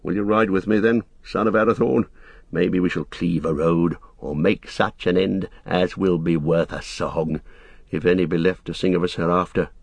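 A man's voice: sits low, normal speed, vocal fry, darkish tone, husky, dry recording, pitched below the performer's own voice, heavily acted. A man reading the part of a proud, weary old king who speaks with grave, stately resolve. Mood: defiant.

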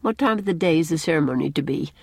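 A woman's voice: low voice, animated, fast talker, vocal fry, a little mellow, husky, no echo, heavily acted. A woman voicing a stern, opinionated older village matron in an audiobook, emphatic and scolding with old-fashioned country phrasing. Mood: authoritative. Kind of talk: audiobook character dialogue.

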